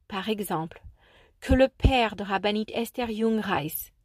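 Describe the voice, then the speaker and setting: A woman hosting a reflective spiritual chronicle, speaking in a soft, contemplative manner with gentle emphasis. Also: mid-range voice, no room sound, studio quality. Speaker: chronicle host.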